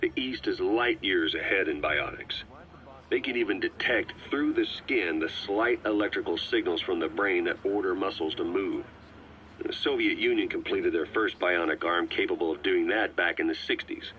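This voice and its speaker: An actor playing a composed, knowledgeable military intelligence advisor in a video game, talking in a measured, matter-of-fact way. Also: male voice, low-pitched, muffled recording, lightly acted. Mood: composed, informative. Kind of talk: acted taped conversation in a video game. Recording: band-limited cassette tape, faint noise.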